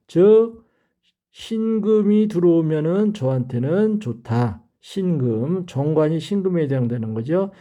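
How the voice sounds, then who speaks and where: An older man gives an instructional lecture. His voice sits a little high for a man, yet it is very mellow and relaxed in tone. He explains step by step and repeats important terms to underline them. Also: measured pace. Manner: didactic, repeats key terms for emphasis. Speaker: fortune-telling teacher.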